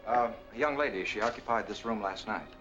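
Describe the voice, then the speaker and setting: Actor as a fast, insistent young American hothead. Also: male voice, on the low side, a bit bright, highs cut off, natural voice. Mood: earnest, polite. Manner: insistent, blunt. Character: hot-headed young bridegroom searching for his missing fiancée. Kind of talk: 1940s film noir acting. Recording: old film soundtrack with faint music.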